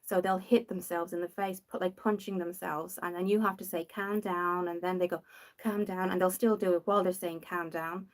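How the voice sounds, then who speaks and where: A young woman from Dublin with a softened Irish accent, rambling casually while hosting a makeup livestream and answering viewers. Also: lowish voice, level tone, moderate pace. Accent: Dublin Irish, softened after years in the UK. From Ireland. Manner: chatty and rambling, reads out viewer comments.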